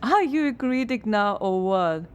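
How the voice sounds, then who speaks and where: An actress playing a blocked woman playwright who answers with wry, deadpan sarcasm in a radio drama. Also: mid-range voice, a little slow, clean tone, lightly acted. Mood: sardonic. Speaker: writer.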